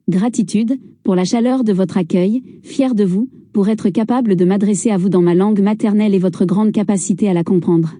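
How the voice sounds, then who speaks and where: A woman's voice: medium pitch, warm tone, no echo, studio quality. A woman giving a formal, solemn reading of a translated speech.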